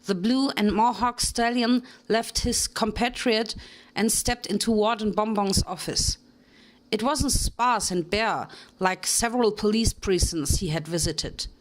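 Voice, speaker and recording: A woman reads a fan fiction story aloud in a dramatic reading. Her narration is steady and measured, in a voice of middling pitch for a woman. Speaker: reader.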